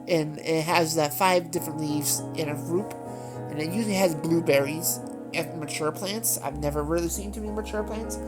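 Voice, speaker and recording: Low-voiced, congested woman rambling. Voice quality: nasal. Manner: meandering, with many fillers and self-corrections. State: congested from allergies. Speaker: gaming channel host. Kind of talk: rambling casual chat over gameplay.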